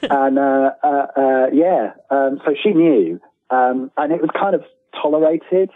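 A man's voice: medium pitch, unhurried, dry recording. A middle-aged man talking casually as an interview guest, rambling, self-deprecating and enthusiastic, over a thin phone-like line.

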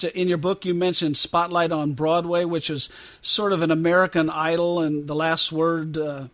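A man's voice: a little high, fairly bright tone, no room sound. A man hosting a podcast and interviewing in a conversational style. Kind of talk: conversational radio-history interview.

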